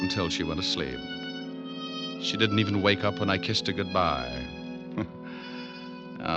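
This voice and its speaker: An actor playing a wisecracking private detective who also narrates, in a 1950s radio drama. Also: male voice, on the low side, lightly acted. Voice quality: wry, sardonic. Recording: dull old radio recording with music.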